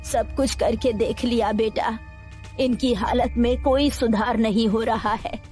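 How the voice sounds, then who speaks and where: Older village mother voiced by a woman, anxious, slow and high, for a storybook horror tale. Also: steady tone, darkish tone, close to an everyday voice. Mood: worried. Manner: slow, worried pleading. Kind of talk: storybook voice acting. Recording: faint music underneath.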